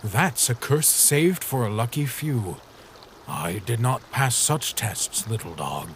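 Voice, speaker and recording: A man's voice: medium pitch, expressive, slow, slight background noise. A man voicing a cryptic hooded ferryman in a fan comic dub, speaking in dreamy sing-song riddles. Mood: mysterious.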